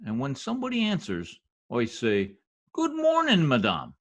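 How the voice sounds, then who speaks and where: A man's voice: medium pitch, huge pitch swings, moderate pace. A man playing a cocky, bumbling middle-aged husband in a folksy, slangy, self-important way, in 1950s radio comedy style. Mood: confident, explaining.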